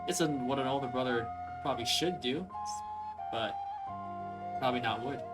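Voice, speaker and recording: A man's voice: medium pitch, normal speed. A young man giving casual, musing let's play commentary in a hesitant way. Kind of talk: casual gameplay commentary, reading options aloud. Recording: voice-over with game music behind.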